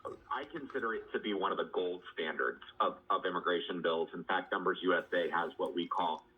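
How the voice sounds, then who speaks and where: Man, low voice, radio political talk. Distant, dull playback through a radio speaker. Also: a little fast, breathy edge. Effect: heard through a radio speaker. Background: played on a radio in the room.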